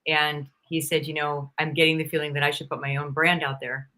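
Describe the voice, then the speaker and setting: Bright-voiced woman, lively guest coach. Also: low-pitched, brisk. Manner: lively storyteller, laughs often; acts out quoted people's lines. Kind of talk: live-stream conversation.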